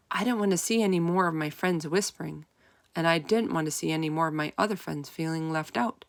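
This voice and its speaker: A woman reading a children's story aloud in an expressive, emphatic way, stretching out key words. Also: lowish voice, vocal fry, no echo, clean studio sound. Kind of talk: expressive children's read-aloud narration in first person.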